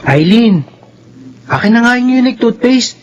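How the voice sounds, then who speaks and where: A man's voice: very high voice, expressive, moderate pace, dull audio, over loud music. A Filipino man playing a bossy, studious teenage older brother in a radio drama, admonishing his sibling. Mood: nagging.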